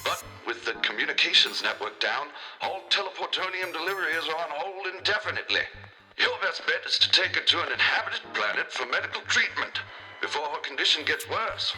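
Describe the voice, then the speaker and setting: A man playing a tiny, ancient, courteous alien doctor with a formal, measured way of explaining, over the radio in a children's space drama. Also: a little high, lively intonation, pushed and bright, soft background music, low-quality recording. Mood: helpful, concerned.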